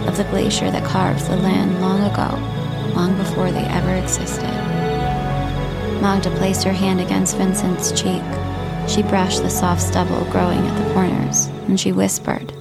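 Creaky-voiced woman narrator over loud music. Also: medium pitch. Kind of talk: scripted literary storytelling narration for audio drama.

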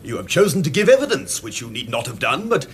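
An actor playing an officious, stern coroner, formal and pompous in a radio drama. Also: male voice, mid-range voice, big pitch swings, fast, a little hiss or hum, lightly acted.

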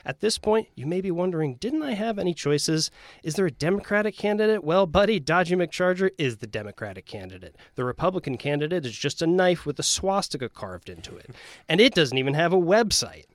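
Animated higher-voiced man hosting comedy. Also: a little fast, dry recording. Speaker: podcast host and game master. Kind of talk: comedy improv game show hosting.

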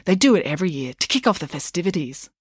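A woman's voice: on the low side, very animated, quick pace, slightly rough, no room sound, studio quality. A woman voicing an earnest, gossipy, superstitious schoolgirl in a children's story, in an insistent, emphatic way. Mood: proud.

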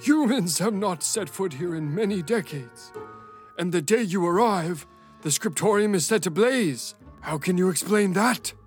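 An actor playing a grumpy, imposing old moose councilor who scolds in a stern, formal, accusing way for a children's audio drama. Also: male voice, high-pitched, expressive, unhurried, soft background music.

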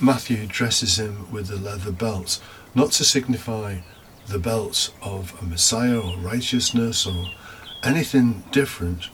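Low-voiced man preaching, noisy recording. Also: fairly bright tone. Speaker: deacon preaching. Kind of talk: homily, read from prepared text.

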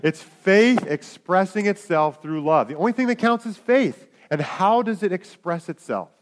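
A man's voice: sits high, lively intonation, moderate pace. A man preaching a sermon, in a conversational way with asides to the congregation. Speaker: pastor.

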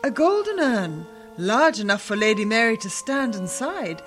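High-voiced, theatrical woman storyteller. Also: very animated, normal speed. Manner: theatrical, heightened delivery. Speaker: narrator. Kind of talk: dramatic storytelling narration in a radio drama. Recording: music behind the voice.

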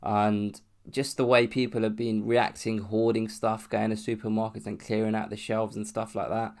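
A man's voice: fairly low pitch, monotone, normal speed, vocal fry, a little mellow. A young man from London with a cold and a sore throat, hosting a solo podcast in a rambling, casual way, restarting and swearing as he talks. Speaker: podcast host.

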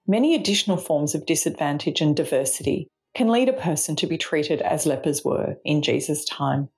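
An Australian woman reading a scripted spiritual reflection in a measured, reflective way. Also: low voice, a little mellow. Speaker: reflection speaker, charity mission partner.

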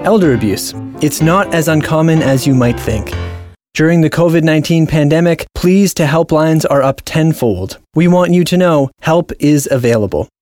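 A man's voice: fairly high pitch, darkish tone, no echo, studio quality. A man hosting an audio drama and talk program, reading scripted narration. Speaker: host. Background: music.

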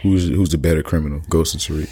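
A man co-hosting a casual chat, relaxed and conversational, raising questions to keep the talk going. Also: a bass voice, fast talker, husky. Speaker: podcast co-host.

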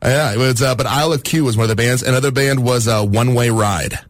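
A man's voice: mid-range voice, fast, no room sound. Guy rambling through old station memories on air, lots of uh and you know, dry studio mic. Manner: rambling, reminiscing, lots of fillers. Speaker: radio station staffer. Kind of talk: casual storytelling on air. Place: radio studio.

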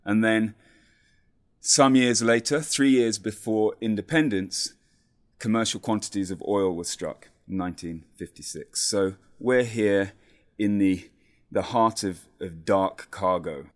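A man with a lowish voice who explains at an even, measured pace. He lays out details carefully, like a guest setting the scene for listeners. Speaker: community radio guest. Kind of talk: conversational explanation.

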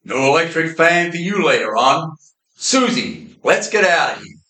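A man acts out a world-weary supernatural detective from an old-time radio serial. His voice sits on the high side and carries dry wisecracks. The recording is amateur and noticeably roomy. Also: very animated, moderate pace, sharp tone. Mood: weary, wry.

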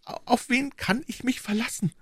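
A man's voice: very high-pitched, a little slow, a bit bright, breathy edge, voice pushed up, full character voice. A man voicing an anguished, fearful queen who exclaims breathlessly and dramatically in a storybook reading. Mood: frightened, urgent. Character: anguished queen, high-pitched, fearful and imploring.

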